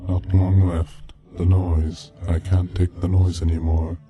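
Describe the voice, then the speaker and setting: Very deep, even-toned male narrator over music. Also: slow pace. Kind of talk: scripted horror story narration. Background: background music from game soundtrack.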